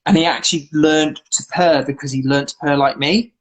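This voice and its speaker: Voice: medium pitch, steady tone, moderate pace, low-quality recording. Rambling English guest on a livestream. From England. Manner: rambling, anecdotal, lots of fillers and restarts. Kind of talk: casual chat.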